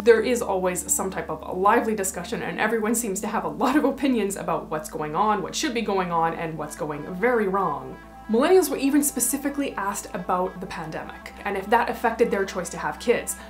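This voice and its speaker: A young woman from Canada talking casually to camera as a vlogger, chatty and opinionated as she explains numbers. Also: medium pitch.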